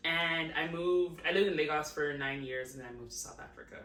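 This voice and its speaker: Young woman from Nigeria, podcast co-host; lively, giggly chat with a bright voice, recorded in a roomy space off-mic. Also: low-pitched. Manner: animated, giggly, quick asides. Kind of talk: casual chat. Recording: roomy sound from a distant mic.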